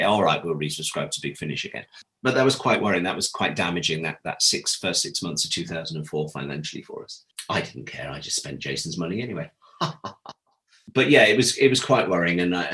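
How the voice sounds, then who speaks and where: A man with a low, deep voice speaks as an interview guest. He tells his stories candidly, in a conversational storytelling style, and voices the people he quotes. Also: a bit bright. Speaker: interview guest, former audio drama producer. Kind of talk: animated anecdotal interview talk.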